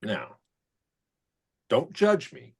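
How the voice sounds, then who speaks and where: Seeking a man to play an earnest, uneasy reality show housemate, husky and expressive, for an informal table read. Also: medium pitch, very slow, heavily acted. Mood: hesitant confession. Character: nervous, confessional male housemate revealing himself.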